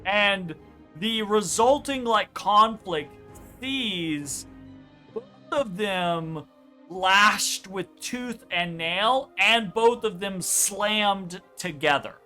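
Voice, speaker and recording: Lively high-voiced male game master. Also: drawn-out words, faint music behind. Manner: animated, casual, swears freely, trails off with filler words. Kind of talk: narrating a tabletop game online.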